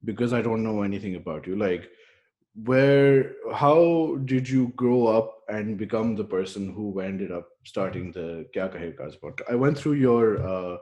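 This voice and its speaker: A man hosts an interview over a headset, feeling his way through thoughtful questions as he mixes English and Urdu. His voice is on the low side and mellow. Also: measured pace, muffled recording. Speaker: podcast host. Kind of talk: casual video-call interview. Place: room at home, indoors. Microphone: headset on a video call.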